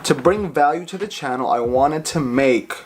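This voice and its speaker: A man's voice: a little high, animated, moderate pace, slightly rough. Man, 30s, from the Philippines, raised in the US; casual, friendly vlog host. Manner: chatty, repeats words when searching. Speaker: vlogger.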